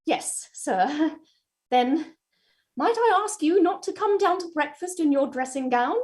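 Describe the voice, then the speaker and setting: A woman's voice: sits high, expressive, a little slow, a little mellow. A woman playing a prim, respectful but firm housekeeper, deferential and insistent, in a staged play reading.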